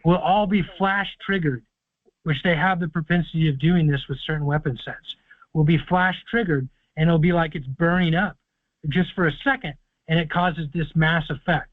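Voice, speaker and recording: Male call-in host, higher voice, dry phone-line sound, confident long explanations. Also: fairly even intonation, normal speed, no echo. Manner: confident, explaining at length with fillers. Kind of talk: casual call-in advice talk. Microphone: phone or conference call. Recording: tinny conference phone line.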